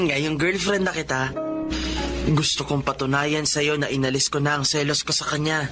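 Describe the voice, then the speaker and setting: A male actor plays a young Filipino man, smitten and a little jealous, in a radio drama. His voice sits on the high side for a man. Music plays clearly underneath. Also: steady tone, moderate pace, natural voice. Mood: earnest, affectionate. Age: young adult. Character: smitten, jealous young wealthy boyfriend and son.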